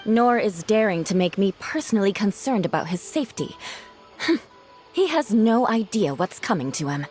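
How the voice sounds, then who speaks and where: A guarded, haughty knight of noble birth played by a woman, mid-pitched with lively inflection. Her delivery is formal and cool, over game music. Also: moderate pace, lightly acted. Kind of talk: video game cutscene acting.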